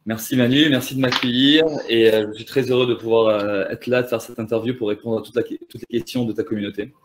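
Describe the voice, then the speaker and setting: A man's voice: medium pitch, fairly even intonation, fast talker, a little hiss or hum. A man in his thirties from Lebanon and Canada, a startup founder explaining his business in an interview, fluent but full of hesitations and restarts. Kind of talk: conversational interview answers.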